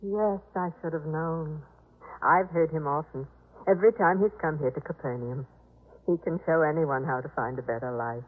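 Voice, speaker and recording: Casting: composed, kindly household mistress, husky low female voice, measured and reassuring, vintage radio drama, telephone-like sound. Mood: gentle, earnest. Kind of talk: 1950s radio drama acting. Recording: muffled, telephone-like 1950s radio recording.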